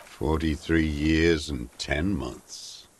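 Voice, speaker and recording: An actor playing a bitter, weary old communist deserter and former commissar in a video game, speaking in terse, brooding phrases with a smoker's rasp. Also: male voice, very deep voice, extremely slow, full character voice. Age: older. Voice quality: gravelly, coughing smoker's voice. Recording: music under the voice.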